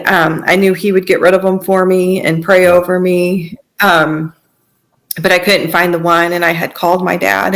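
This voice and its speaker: A woman telling her personal story as a podcast guest, in a conversational, earnest and halting way. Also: fairly low pitch, vocal fry.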